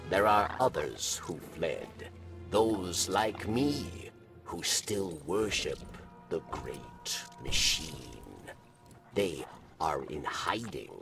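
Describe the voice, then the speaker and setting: An alien outcast with a very low, crackling, rasping voice. He speaks extremely slowly and haltingly, a weary and conscientious defector in a video game, sounding slightly distant under music. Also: exaggerated voice. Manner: halting, refers to himself in third person. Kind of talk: dramatic video game character acting.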